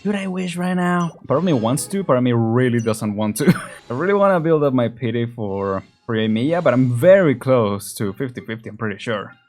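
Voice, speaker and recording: A man's voice: mid-range voice, lively intonation, a little fast, darkish tone. A man giving casual, slangy playthrough commentary as a gaming host, with informal muttered reactions.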